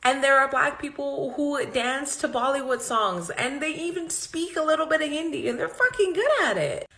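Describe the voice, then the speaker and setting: A woman's voice: sits high, animated, moderate pace, bright, forceful tone. Enthusiastic woman from Guyana, echoey room. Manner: enthusiastic, proud. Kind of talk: casual talk to camera.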